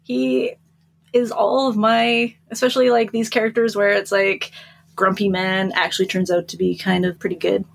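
A woman's voice: mid-range voice, level tone, normal speed, gravelly. A giggly, chatty woman co-hosting a book podcast and casually recapping stories.

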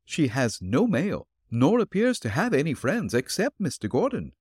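A man voicing an elderly, refined and gentle landlady in a raised, polite voice. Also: huge pitch swings, moderate pace, husky, no room sound, studio quality, full character voice. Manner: polite, flustered, high put-on voice. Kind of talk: audiobook character reading.

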